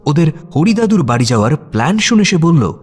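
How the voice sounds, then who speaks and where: Animated male storyteller over faint music. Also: a little high, brisk, breathy edge. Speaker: story narrator. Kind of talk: expressive scripted audio story narration.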